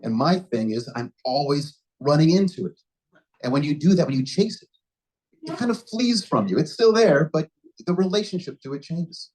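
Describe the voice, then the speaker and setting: A man's voice: fairly high pitch, expressive, moderate pace, a little mellow, rough recording. A man giving an impromptu answer at a club meeting, reflective and often restarting his sentences. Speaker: club member answering a question. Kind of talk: impromptu speech.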